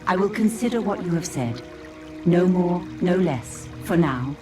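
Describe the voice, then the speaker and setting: A woman voicing an aloof, enigmatic dragon of dreams in a fantasy game cutscene, measured and solemn, with music behind. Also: lowish voice, expressive. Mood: solemn, pitying.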